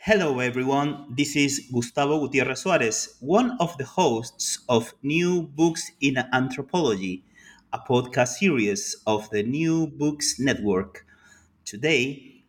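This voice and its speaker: A man hosting an academic interview podcast, polite and formal, with some halting fillers. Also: medium pitch, animated. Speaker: podcast host.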